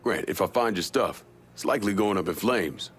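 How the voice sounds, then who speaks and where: A man playing a tough, calm young vigilante in a crime drama game, speaking in a dry, terse way. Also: brisk, breathy edge. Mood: dry, steady. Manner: dry, terse, low-key. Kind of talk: dramatic video game acting.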